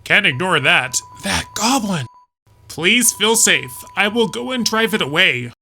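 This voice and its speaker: Woman doing a formal loyal castle knight for a game dub, mid pitch, music behind. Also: very animated, measured pace, bright, forceful tone, breathy edge, full character voice. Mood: alert, gallant. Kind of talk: video game fan dub.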